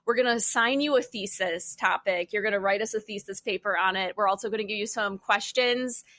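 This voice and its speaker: A young adult woman chatting casually as a podcast panelist, telling things in an informal, wry and animated way. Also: medium pitch, a little fast, no room sound. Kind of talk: casual chat.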